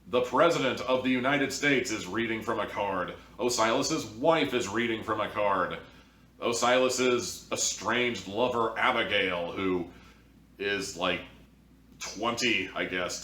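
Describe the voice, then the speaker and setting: A man's voice: lowish voice, pushed and bright, slight background noise. A man hosting an opinionated review, speaking in an exasperated, emphatic way. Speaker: host, audio drama reviewer. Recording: echoey room, distant from mic.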